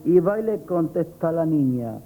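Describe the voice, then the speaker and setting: An actor playing a cheeky, chatty grocery assistant in a radio comedy, telling things wryly in a Spanish accent. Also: male voice, fairly high pitch, lively intonation, normal speed. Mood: wry, gossipy. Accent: Spain. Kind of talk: comic radio theatre acting. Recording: muffled noisy old radio recording.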